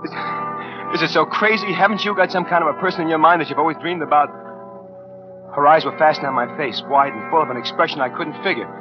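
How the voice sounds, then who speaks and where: Actor as a hard-boiled jittery crook, vintage radio. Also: male voice, fairly high pitch, animated, a little fast, lightly acted. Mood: entranced. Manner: slangy, clipped, hard-boiled. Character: tough, jittery small-time killer on the run. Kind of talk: 1940s radio noir acting, first-person narration. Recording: dull vintage 1940s radio with music.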